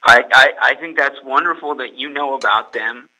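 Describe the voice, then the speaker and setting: A man's voice: medium pitch, moderate pace, pushed and bright, low-quality recording. Hesitant young man from California. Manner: hesitant, repeats words. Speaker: guest. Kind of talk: casual podcast interview.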